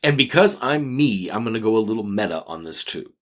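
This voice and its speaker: Animated low-voiced male podcast co-host. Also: fairly quick. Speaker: podcast co-host answering listener questions. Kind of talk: casual advice podcast chat.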